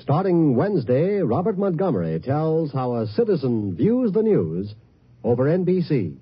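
A man's voice: fairly high pitch, sing-song, moderate pace, soft background music. An American man reading scripted 1950s radio network promos as the announcer. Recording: dull 1950s radio broadcast recording.

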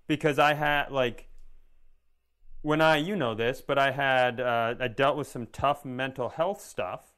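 A man's voice: medium pitch, fairly even intonation, unhurried, fairly bright tone, smooth. Wry, rambling male comedy podcaster. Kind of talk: casual comic chat.

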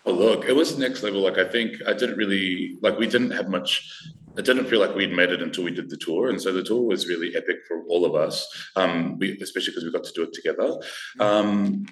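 A man with a raspy voice, chatting playfully as a drag performer guest. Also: sits low, a little fast, echoey room, low-quality recording. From Australia. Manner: playful, camp, laughing often. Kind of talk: casual podcast chat.

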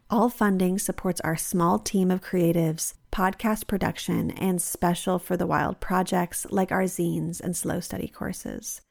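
A woman's voice: lowish voice, creaky, a little mellow. Earnest woman podcast host, reverberant. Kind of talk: reading ads and intro, then conversational interview. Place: cafe, live recording.

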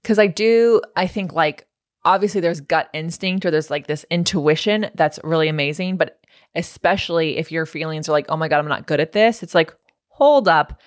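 A woman's voice: sits low, normal speed, no echo, clean studio sound. A woman hosting a podcast, asking questions casually and affirming her guest, often laughing. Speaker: podcast host.

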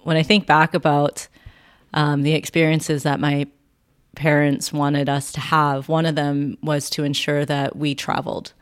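A woman with a Canadian accent, speaking as a podcast guest in a measured, reflective way. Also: low-pitched, little pitch movement, clear, resonant tone. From Vancouver, Canada. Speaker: podcast guest, sound healing center founder. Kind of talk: reflective interview conversation. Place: quiet indoor room at her wellness space.

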